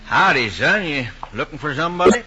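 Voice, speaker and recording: An actor playing a good-natured small-town sheriff with a husky voice and a Southern American accent, in old-time radio drama style. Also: male voice, a little high, animated, a little fast, over loud music, natural voice. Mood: amused, puzzled. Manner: folksy, easygoing. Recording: muffled old radio recording.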